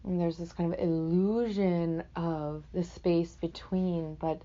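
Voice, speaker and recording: A woman with a soft-edged, dark timbre and a slightly low pitch leads a guided meditation. She phrases things gently and leaves reflective pauses, as a teacher would. The sound is a little dull and lo-fi.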